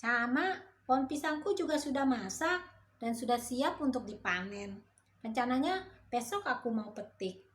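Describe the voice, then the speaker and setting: Woman doing a shrill greedy monkey. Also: animated, moderate pace, natural voice. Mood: lying. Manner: shrill, taunting, loud. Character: greedy sly male monkey, mocking. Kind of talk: children's storybook reading.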